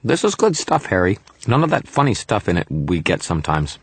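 A tiny male fairy from a fantasy audiobook, pitched well above the narrator's natural voice. He sounds like a haughty, greedy little kid, indignant and grandiose, forever complaining. The recording is close and dry. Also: sing-song, brisk, breathy edge, no room sound, full character voice. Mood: satisfied, demanding. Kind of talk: audiobook character voice.

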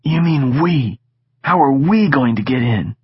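A man's voice: medium pitch, expressive, measured pace, husky, dry recording, muffled recording, voice pushed up. A man voicing a brash, teasing teenage boy who keeps pushing his friend, in an audiobook reading. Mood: pushy eager.

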